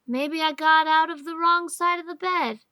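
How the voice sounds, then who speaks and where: A woman's voice: very high voice, steady tone, normal speed, pushed and bright, dry recording, clean studio sound. A woman playing a cheeky, argumentative seven-year-old boy in an audio drama, with folksy childish grammar and an insistent manner. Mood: curious, insistent.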